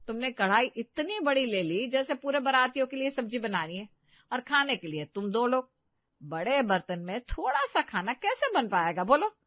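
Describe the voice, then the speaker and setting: A woman's voice: a little high, no room sound, dull audio, pitched above the performer's own voice. A woman voicing a bossy, middle-aged village mother-in-law who scolds and gives instructions.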